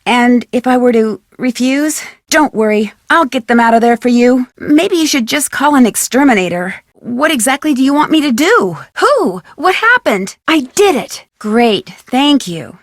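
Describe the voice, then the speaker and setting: A woman's voice: a little high, dry recording, clean studio sound. An actress playing a polite, inquisitive young female detective, earnest, in a video game. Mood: polite, curious.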